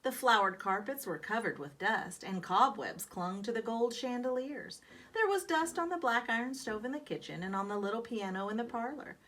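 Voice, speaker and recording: A woman reads a children's story aloud expressively, speaking to her young listeners like a teacher. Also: fairly high pitch. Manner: expressive, explanatory, questioning the listeners. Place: outdoors. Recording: background noise from reading outdoors. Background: outdoor ambient noise.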